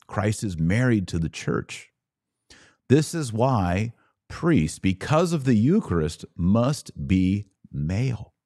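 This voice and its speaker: A man with a low voice delivers religious commentary as a podcast host, recorded close and dry. His intonation swings widely as he teaches, leaning hard on key words with deliberate pauses and repetition. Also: darkish tone, dry recording. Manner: didactic, emphatic, with deliberate pauses and repetition for stress. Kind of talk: religious commentary, explanatory monologue.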